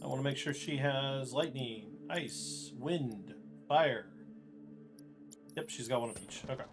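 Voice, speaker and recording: A man's voice: mid-range voice, a little slow. A man giving casual, explanatory live commentary as he plays through a video game speedrun. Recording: loud game music behind voice. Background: video game music and sounds.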